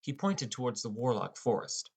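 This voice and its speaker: Male first-person audiobook narrator, medium pitch. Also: very animated, normal speed, vocal fry, breathy edge.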